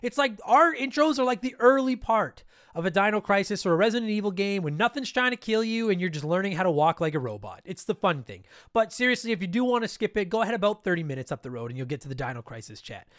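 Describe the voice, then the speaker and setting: Man, podcast host, stuffy nose, fast, rambling and profane, smooth clear voice. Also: high voice, sing-song, fairly bright tone, no echo, clean studio sound. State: stuffy from allergies. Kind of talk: casual podcast intro monologue.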